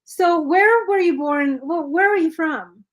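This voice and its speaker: A woman's voice: very high-pitched, measured pace. A woman hosting a live-streamed interview show, effusive and greeting viewers by name. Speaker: host.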